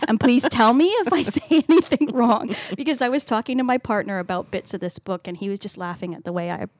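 A woman's voice: a little high, fast, dry recording. Laughing Canadian woman co-hosting a book podcast. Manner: hesitant, self-deprecating, laughs often. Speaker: podcast co-host. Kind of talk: casual book chat.

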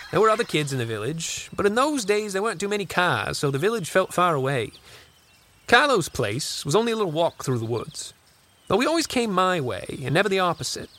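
An actor playing an old country man who wistfully recalls a strange boyhood in folksy, unhurried asides for an audio drama. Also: a little high, fairly bright tone, a little hiss or hum. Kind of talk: first-person storytelling in an audio drama.

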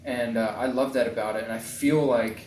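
A man, a tattoo artist being interviewed in his shop, talking casually in halting bursts with many restarts. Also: medium pitch, steady tone, darkish tone, far from the mic, a little hiss or hum. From New Zealand. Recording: echoey room, a bit off-mic.

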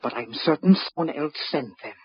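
A sinister, irritable son in a vintage radio thriller. His very husky, fairly high voice is curt and scheming, on a dull old recording. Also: lively intonation, a little fast. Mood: brooding, fearful. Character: sinister, irritable grown son, secretly a Martian conspirator. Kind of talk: old-time radio drama acting.

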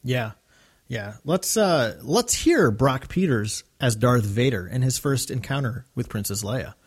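A man co-hosting a casual discussion podcast, talking in a halting, enthusiastic fan's way. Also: mid-range voice, animated. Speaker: podcast co-host.

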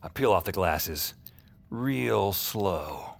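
An actor playing a world-weary night-shift dispatcher in a noir audio drama, with a wry, deadpan manner. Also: male voice, deep voice, very slow, creaky, exaggerated voice. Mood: brooding, wry. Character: world-weary male night-shift emergency dispatcher who sees strange things.

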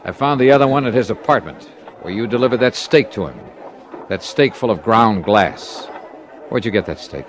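A man's voice: fairly low pitch, lively intonation, moderate pace, a little hiss or hum. A man narrating and playing a hard-boiled insurance detective in a 1950s radio drama, terse and matter-of-fact. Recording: old radio broadcast with music.

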